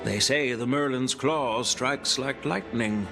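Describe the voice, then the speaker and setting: A man playing a sardonic old general in a video game, speaking in a deliberate, theatrical way over music. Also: medium pitch, creaky. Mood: stern, ominous. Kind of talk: scripted video game voice acting.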